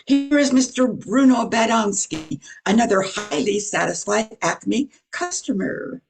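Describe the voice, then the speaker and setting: Older woman as slow, creaky, perky announcer. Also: fairly high pitch, animated. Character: bright, perky, peppy female radio announcer. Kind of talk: 1940s radio advertising.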